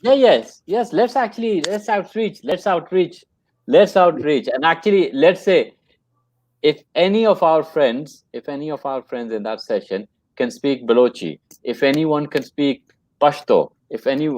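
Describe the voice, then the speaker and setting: A man's voice: on the high side, lively intonation, a little slow, darkish tone, no echo. A man working as a trainer, telling a story in a casual conversation. He speaks earnestly and often repeats his phrases. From Pakistan. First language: English.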